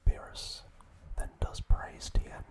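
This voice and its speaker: A man hosting ASMR, whispering a recap in short, hushed phrases with pauses between them. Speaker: ASMR video host.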